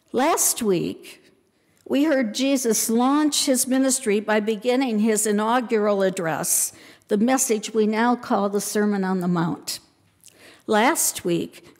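An older woman pastor giving a sermon in a church, speaking in a measured way and pausing on lists. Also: on the high side, a little mellow, in a large hall. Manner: slow, measured preaching with deliberate lists. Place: church sanctuary.

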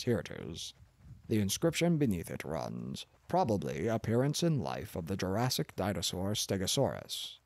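A man's voice: low-pitched, vocal fry, darkish tone, dry recording, exaggerated voice. A man reading an audiobook, voicing a pompous, contemptuous older male professor in a blustering, condescending manner. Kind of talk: audiobook character voice.

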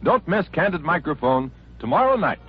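Casting: American male announcer, vintage radio promo read, animated mid-range voice with faint music. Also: on the high side, normal speed, husky. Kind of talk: 1940s scripted radio promo narration. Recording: dull vintage radio recording.

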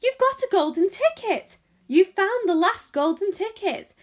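Woman as fat male shopkeeper, storybook character, high pitch, loud, exclamatory, wildly excited. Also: measured pace. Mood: thrilled, yelling. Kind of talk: children's audiobook character acting.

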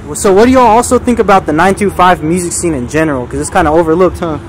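A man's voice: sits high, quick pace, poor audio. A man interviewing a rapper, asking easygoing questions outdoors at night. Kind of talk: casual interview. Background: traffic noise.

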